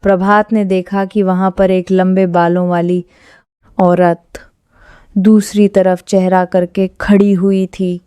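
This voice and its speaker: Smooth, mellow woman narrating. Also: medium pitch, level tone, takes it slowly, dry recording, clean studio sound. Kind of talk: scripted horror story narration.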